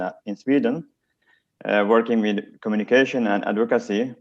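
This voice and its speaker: A man from Afghanistan, an aid organization officer, speaking haltingly with many fillers in an online seminar interview. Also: lowish voice, darkish tone. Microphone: video call. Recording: dull lo-fi video call audio.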